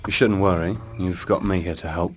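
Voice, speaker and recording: A man's voice: low-pitched, steady tone, normal speed, noisy background, natural voice. A man voicing a wry, flirty field handler and sidekick in a video game, with a dry, casual delivery. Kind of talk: video game cutscene acting. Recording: music behind the voice.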